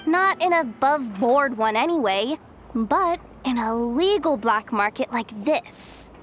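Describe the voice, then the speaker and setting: High-voiced streetwise young informant. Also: female voice, animated, unhurried, muffled recording, slight background noise. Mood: sly, confident. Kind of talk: video game voice acting. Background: game music.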